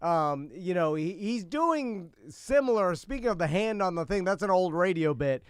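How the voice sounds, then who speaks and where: Clear, higher-pitched man chatting hesitantly. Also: lively intonation, normal speed, dry recording, clean studio sound. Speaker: radio show co-host. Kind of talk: casual chat, steering the topic with questions.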